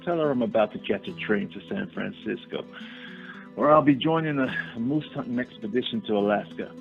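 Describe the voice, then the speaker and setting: A man acting an earnest young gentleman spurned in love in a radio play, formal and insistent. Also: medium pitch, normal speed, creaky, close to an everyday voice. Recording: tinny narrow-band audio, faint music.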